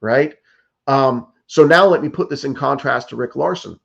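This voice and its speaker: A man in his fifties speaks in an earnest, pressing way, stumbling over restarts and leaning on "like" and "you know." His voice sits at a middle pitch. The audio is dull and muffled, as if it were coming through a video call. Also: moderate pace, vocal fry. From United States. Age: 50s. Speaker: political candidate. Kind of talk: interview answers.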